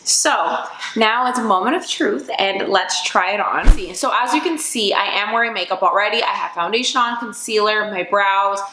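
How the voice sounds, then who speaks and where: A woman vlogger reviewing makeup in a casual, bubbly, chatty way, her voice a little raspy from just waking up. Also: medium pitch, moderate pace, noisy background. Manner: bubbly and chatty, full of fillers. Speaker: beauty vlogger. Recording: echoey room.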